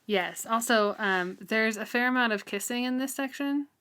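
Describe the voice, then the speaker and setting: A woman's voice: fairly high pitch, moderate pace, pushed and bright, clean tone. Casual, giggly woman co-hosting a podcast. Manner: giggly, casual, informal phrasing. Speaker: podcast co-host. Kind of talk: casual book discussion.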